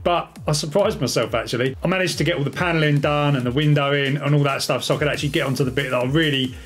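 A man's voice: on the high side, fast talker, a bit bright. A man, giving a casual, chatty explanatory vlog as a hobbyist presenter. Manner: informal, chatty. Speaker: radio hobby vlogger.

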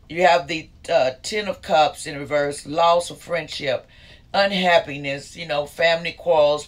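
A middle-aged man reads tarot cards in a loose, rambling way, full of fillers and restarts. His voice sits somewhat high for a man. He speaks close into a handheld microphone in a small indoor room. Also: fairly bright tone. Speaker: tarot reader. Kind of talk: casual tarot reading to camera. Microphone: handheld microphone held close.

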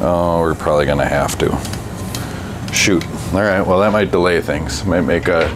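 A man working on a bike in his workshop, talking casually through each step with a relaxed, chatty manner. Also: low voice, moderate pace. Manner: relaxed, chatty, thinks aloud with fillers. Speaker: bike mechanic and channel host. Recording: workshop noise behind the voice.

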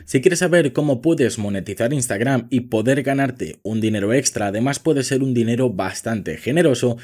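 A man's voice: medium pitch, normal speed, a little mellow. A man from walking the viewer step by step through a screen-recorded social media tutorial, speaking directly to the audience. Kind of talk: screen-recorded how-to walkthrough.

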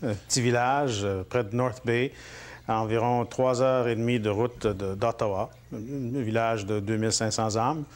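A Franco-Ontarian man in his forties answers questions in his own living room. He talks at an ordinary pitch and keeps stopping to restart his phrases, with plenty of fillers along the way. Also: fairly even intonation, normal speed. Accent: Franco-Ontarian French. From Northern Ontario, Canada. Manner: hesitant, many fillers and restarts. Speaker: interview guest, politician. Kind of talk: relaxed interview conversation.

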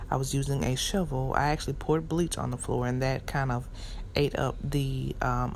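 A woman's voice: rumbling low, vocal fry, a little hiss or hum. A woman in her thirties, chatty and full of ums, filming a home renovation vlog on her phone in a large kitchen. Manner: chatty, thinking aloud with many ums. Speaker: vlogger. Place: large kitchen of an old house. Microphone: phone at arm's length, later propped far off. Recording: echoey room.

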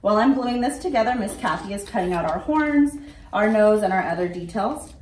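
A young woman giving clear, step-by-step craft instructions for children, standing at a table in an indoor room. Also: medium pitch, lively intonation, a little fast, darkish tone, a little hiss or hum. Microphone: camera at a distance. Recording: roomy, from a distance.

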